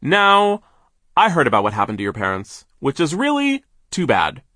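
A man voicing a domineering, stingy mill boss for an audiobook, blustering and pompous, with drawn-out, emphatic words. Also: fairly high pitch, huge pitch swings, dry recording, clean studio sound, voice pushed up, heavily acted. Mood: blustering, self-satisfied. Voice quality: forceful, drawn-out emphatic delivery. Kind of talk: audiobook character voice.

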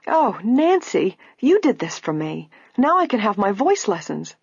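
A woman voicing a gentle, grateful woman in a story reading, with soft, breathless wonder. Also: medium pitch, fast talker, slightly rough, no room sound, highs cut off, pitched above the performer's own voice, natural voice. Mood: tearful wonder. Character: grateful, gentle woman heirs, overwhelmed with relief.

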